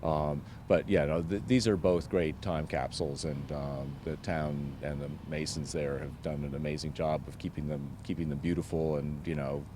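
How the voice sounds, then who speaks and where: Deep-voiced, halting man on the street. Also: fairly even intonation, noisy background. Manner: halting, hesitant, rambling. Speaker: film production designer being interviewed. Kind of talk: casual, impromptu on-the-spot interview. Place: outdoors outside a town hall.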